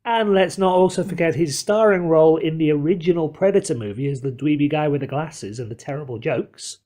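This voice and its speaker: A man's voice: on the high side, a little fast, warm tone. A man hosting a podcast, chatting casually in a wordy, emphatic, effusive way. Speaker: podcast host. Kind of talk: casual chat.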